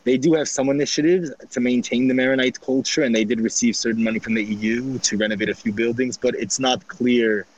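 Armenian-background man, measured travel recap with restarts, mid-pitch. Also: fairly even intonation, highs cut off. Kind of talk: casual recounting of a trip.

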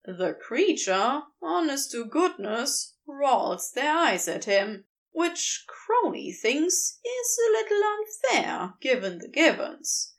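Slow, expressive woman storyteller. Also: high voice. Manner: expressive, unhurried reading. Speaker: podfic reader. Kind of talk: storybook narration.

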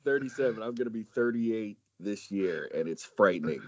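A man's voice: normal speed, no echo, muffled recording. A man in his late thirties from San Diego, a podcast guest chatting casually and swearing freely. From San Diego, USA. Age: 30s. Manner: swears freely, jumps high in pitch when animated. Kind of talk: casual chat.

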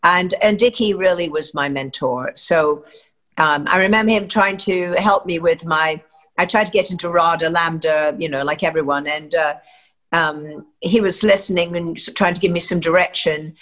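A woman's voice: on the low side, fairly even intonation, normal speed, fairly bright tone. An older woman from England, an actress, giving chatty, rambling interview answers full of fillers and restarts.

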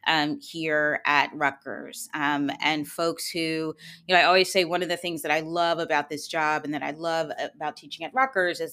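Low-voiced American woman hosting an interview. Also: fairly bright tone. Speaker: podcast host and law school dean. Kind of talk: conversational podcast interview.

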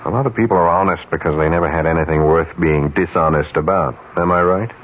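Casting: smooth, deep-voiced cynical ad man, persuasive and emphatic, for a vintage radio thriller with muffled sound. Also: quick pace, a bit bright. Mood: cynical, insistent. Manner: smooth, chuckling, insistent and emphatic. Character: cynical, persuasive male ad executive scheming to corrupt a colleague. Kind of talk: radio mystery drama acting. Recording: dull, noisy old radio recording.

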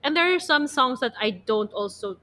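Podcast co-host: young Filipino woman, sharp forward tone, higher register, chatty and rambling, casual delivery. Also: lively intonation, moderate pace. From Philippines. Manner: chatty, rambling, heavy use of "like". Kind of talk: casual chat about pop music.

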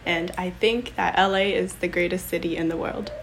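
A woman's voice: lowish voice, steady tone, gravelly, slight background noise. Young woman, bubbly, laughs a lot, podcast guest, casual chat. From Los Angeles, USA. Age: young adult. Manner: bubbly, laughs often, quick restarts.

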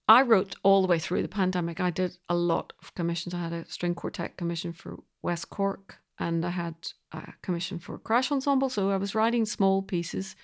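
A woman speaking as an interview guest, thoughtfully explaining her work as a composer in loose, unfolding sentences. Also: medium pitch. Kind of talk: reflective interview conversation.

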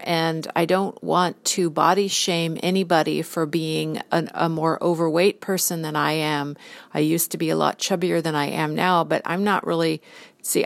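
A woman's voice: on the low side, creaky. A woman in her fifties, an art model, talking earnestly in a rambling casual monologue, listing things one by one. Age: 50s.

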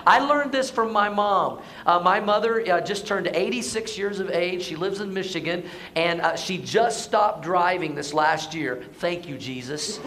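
A hoarse man preaching a conversational Sunday sermon, asking the congregation to answer back. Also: high-pitched, a little slow, fairly bright tone, a little hiss or hum. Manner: conversational, asks the congregation to respond. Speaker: pastor. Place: church sanctuary.

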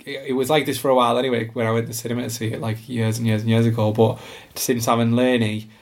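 A man's voice: mid-range voice, fast. A young man with a camp, giggly way of talking, chatting casually on a podcast. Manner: camp, giggly, animated storyteller. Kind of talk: casual chat.

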